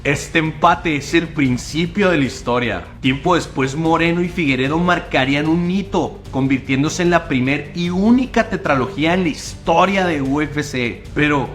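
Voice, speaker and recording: A man's voice: fairly high pitch, normal speed, hardly any pauses. A man narrating a documentary-style video in a dramatic storytelling voice. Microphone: voice-over. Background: background music.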